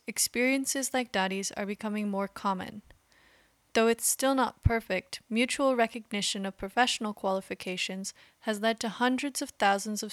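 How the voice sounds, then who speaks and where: A woman hosting a podcast, giving measured, explanatory scripted narration. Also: medium pitch, a bit bright, no echo. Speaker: podcast host.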